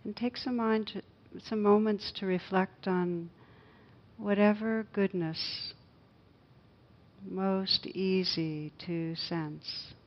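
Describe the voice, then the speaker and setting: A woman meditation teacher leading a guided loving-kindness meditation in a gentle, hushed, unhurried manner with long pauses. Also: mid-range voice, steady tone, dull audio.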